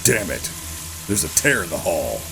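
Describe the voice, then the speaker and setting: An actor playing a gruff, guarded covert operative who narrates a sci-fi horror audio drama in a brooding, deliberate way. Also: male voice, deep voice, big pitch swings, a bit bright, heavily acted, low-quality recording. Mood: urgent. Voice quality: gravelly. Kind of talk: first-person scripted audio drama narration and dialogue.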